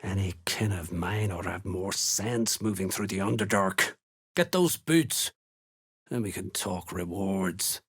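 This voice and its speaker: Menacing dwarf soldier, gravelly, slow, blunt, rustic phrasing, fantasy game villain. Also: male voice, low voice, a bit bright, exaggerated voice. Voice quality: gruff, raspy. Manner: blunt, threatening, folksy rustic turns of speech. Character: menacing, gruff Duergar slave hunter, rough soldier. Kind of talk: video game character dialogue.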